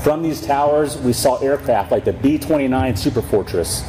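A man, a military commander, delivering a prepared speech in declamatory, measured phrases from a podium in a large hangar. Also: mid-range voice, dark tone. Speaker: military squadron commander speaking at ceremony. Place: large open hangar facing airfield. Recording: reverberant hangar PA with ambient noise.

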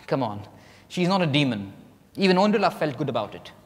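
A man's voice: a little high, very animated. A man playing a reserved novelist in an echoey hall. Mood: defensive. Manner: measured, formal, defensive. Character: reserved, dignified male novelist being interrogated. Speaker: stage actor. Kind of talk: dramatized play reading on stage. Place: large hotel ballroom stage.

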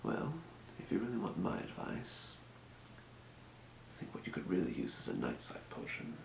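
A man playing a calm fantasy potion shopkeeper in a breathy, measured, courteous whisper for an ASMR role play. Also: low voice, roomy sound, slight background noise, heavily acted. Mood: calm, helpful. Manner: measured, murmuring, courteous merchant patter. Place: small indoor room.

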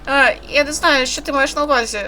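A woman's voice: high voice, fairly even intonation, brisk, sharp tone, voice pushed up. A woman with a Ukrainian accent voicing a troubled, anxious young girl from a horror visual novel in an earnest, dramatic read. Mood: frightened, defensive.

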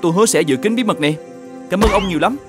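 An actor playing a good-natured, simple peasant farmer in an animated fairy tale, earnest and polite. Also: male voice, sits high, rapid-fire, lightly acted. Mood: amazed. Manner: earnest, polite, slightly naive. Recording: music behind the voice.

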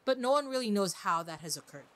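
A woman's voice: medium pitch, expressive, fast talker, a bit bright. A woman hosting a podcast, giving chatty solo commentary on literature with wry, playful asides. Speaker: podcast host.

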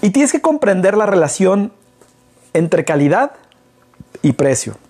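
A man's voice: high voice, big pitch swings, a little slow, darkish tone, breathy edge. A middle-aged Mexican man, a business consultant, explaining things to camera from an armchair in a living room, asking rhetorical questions as he goes. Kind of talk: casual business talk to camera. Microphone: far camera.

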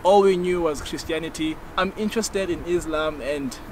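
A man's voice: on the high side, moderate pace. A young man hosting a casual outdoor interview in an upbeat, chatty way. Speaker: channel host. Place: outdoors by a roadside. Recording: street noise in an outdoor video clip.

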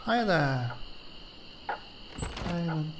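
A man's voice: fairly high pitch, big pitch swings, drawn-out words. A man giving casual, quippy commentary as he plays a game, reacting playfully. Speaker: gaming video host. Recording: noisy, echoey, distant-sounding mic.

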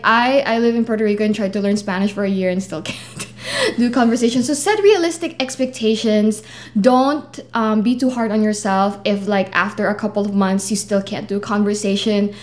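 A young woman from the Philippines, a native Tagalog speaker, co-hosting a casual vlog and talking in an easygoing way with lots of "like" fillers. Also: on the high side. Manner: chatty, many "like" fillers, animated hand gestures. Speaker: vlog co-host. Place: small living room indoors.

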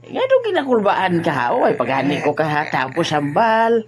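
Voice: mid-range voice, huge pitch swings, normal speed. A performer voicing a teasing, boastful old village grandpa in a comic audio drama, chatty and exclamatory. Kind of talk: amateur comedy audio drama dialogue. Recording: faint background noise and ambience.

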